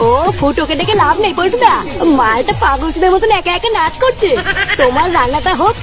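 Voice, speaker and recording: Lady doing a cheeky cartoon young guy, really squeaky and quick, crude slang, music underneath. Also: animated. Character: cheeky, foul-mouthed young male drinking buddy. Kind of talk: comic Bengali cartoon dialogue.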